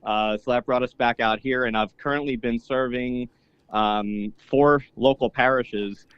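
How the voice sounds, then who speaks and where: A man's voice: medium pitch, steady tone, unhurried, smooth, no room sound, lo-fi sound. A young man whose first language is Polish, a priest and polka singer, talking casually as an interview guest with lots of fillers. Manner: easygoing, rambling, many fillers and restarts.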